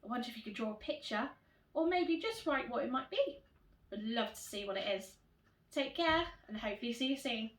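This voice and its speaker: A woman teacher reading a children's storybook in an animated, expressive way, addressing young children directly. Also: a little high, a little fast. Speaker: primary school teacher reading to pupils. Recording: roomy echo, distant microphone.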